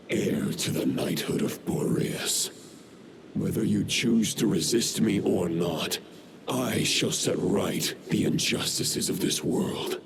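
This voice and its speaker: A man voicing a formidable, cold warrior lord who speaks in a slow, measured, formal way. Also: low voice, creaky, heavy background noise, heavily acted.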